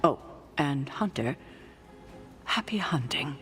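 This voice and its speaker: An actress playing a stern, wise female mentor witch who speaks firmly and briskly. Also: low voice, animated, over music. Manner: firm, brisk, commanding. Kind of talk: video game cutscene acting.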